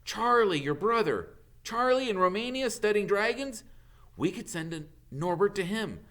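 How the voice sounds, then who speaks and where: A man's voice: sits high, expressive, moderate pace, fairly bright tone, voice pushed up. A man voicing an earnest schoolboy wizard in a storybook, urgent and insistent. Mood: insistent, excited.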